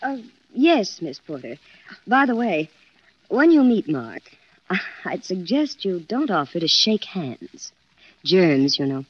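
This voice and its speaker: An actress playing a coy, alluring secretary in a 1940s radio drama, poised and politely cutting. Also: female voice, on the low side, big pitch swings, slow, full character voice. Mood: gracious hostess. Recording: muffled, dull 1940s radio recording.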